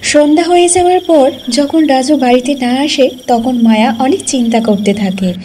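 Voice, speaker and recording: A woman's voice: high-pitched, moderate pace, over music. A woman narrating a scripted cartoon folk tale.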